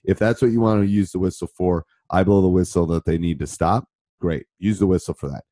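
A middle-aged man, a basketball coach, answering listener questions in a rambling, casual way, his voice roughened by a cold. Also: sits low, steady tone, brisk, mellow, no echo, clean studio sound. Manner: rambling, conversational, with many fillers and restarts. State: voice deeper than normal from a cold. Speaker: basketball coach and podcast host.

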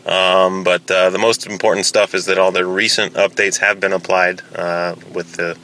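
Low voiced guy, crisp forceful edge, casual gaming podcast update. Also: flat delivery, moderate pace, a little hiss or hum, rough recording. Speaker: game server admin giving a podcast segment. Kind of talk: informal spoken update.

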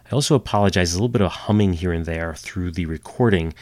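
Man, very low voice, slightly nasal from a cold, podcast host, dry close sound. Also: fast talker, a little mellow, no echo. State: getting over a cold. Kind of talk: storytelling history podcast. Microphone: home computer setup.

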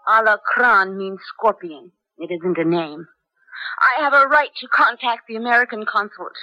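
Scared young american girl prisoner, high tense voice, defiant, pleading, old radio drama. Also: normal speed, pushed and bright, lo-fi sound. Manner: pleading, indignant. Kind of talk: 1970s radio drama acting.

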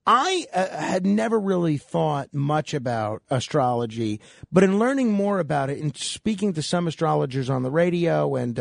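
Gravelly-voiced male radio host. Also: fairly high pitch, no room sound, clean studio sound. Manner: halting, many uh fillers. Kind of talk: interview.

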